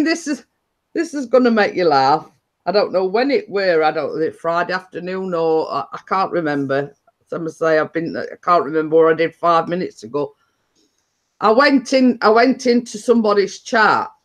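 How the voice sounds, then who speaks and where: Chatty woman, rambling storyteller. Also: fairly low pitch. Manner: rambling, chuckling, dialect "were" and "me day". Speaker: host. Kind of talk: casual chat.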